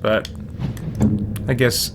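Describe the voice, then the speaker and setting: A man hosting a video game let's play, giving casual commentary, muttering to himself and reacting theatrically in role. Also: extremely slow. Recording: loud game noise behind voice. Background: video game sound.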